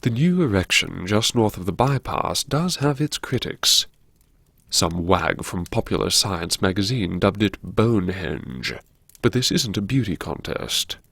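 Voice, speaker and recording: A man playing a wry, self-mocking university vice-chancellor narrating his memoirs in a gravelly, husky voice, savouring his words with arch, dramatic pauses. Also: low-pitched, big pitch swings, fairly bright tone, no echo, clean studio sound, heavily acted. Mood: wry, relishing. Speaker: narrator of a serialised audio story. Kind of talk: theatrical first-person audiobook narration.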